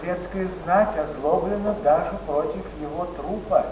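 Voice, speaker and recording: An actor playing a sober chronicler reporting a death as theatrical documentary recitation. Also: male voice, high-pitched, moderate pace, natural voice. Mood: grave, factual. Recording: distant, echoey, noisy stage recording.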